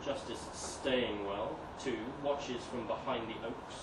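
Slow, echoey man reading a story theatrically. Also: medium pitch. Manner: expressive, dramatic, with emphatic swells and hushed drops. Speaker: story reader. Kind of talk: theatrical live reading of a comic historical monologue. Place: live reading event in a venue. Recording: echoey live room, distant mic, noisy ambience.

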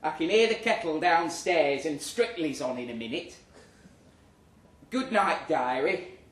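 A man reads a comic story aloud in a theatrical, expressive way, with a fairly high voice that swings widely in pitch. He takes his time over the lines. It is a live recording in an echoey room. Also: unhurried. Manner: theatrical, expressive, acting out the dialogue. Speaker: reader of a short story. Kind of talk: dramatic comic story reading to a live audience. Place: live reading in a pub room. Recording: roomy, distant live recording with noise.

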